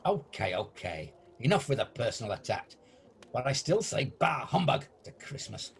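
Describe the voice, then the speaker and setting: A man's voice: mid-range voice, sing-song, moderate pace. A man playing a stubborn, grumpy, miserly older man in an amateur radio play, blustering and scornful. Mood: grumbling. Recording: noisy home video-call recording.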